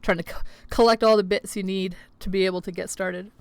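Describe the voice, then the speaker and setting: A woman's voice: mid-range voice, fairly even intonation, a little fast, clear, resonant tone. A young woman streaming from home, chatting casually with viewers in a rambling way, her voice a little scratchy today. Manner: rambling, filler-heavy, chatty. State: slightly scratchy voice. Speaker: livestreamer. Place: home streaming setup with green screen.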